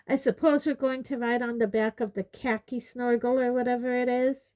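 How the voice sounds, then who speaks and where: A woman's voice: mid-range voice, fairly even intonation, measured pace, warm tone, close to an everyday voice. Woman doing an irritable, blunt teenage wizard boy. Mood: exasperated, angry. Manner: exasperated, demanding.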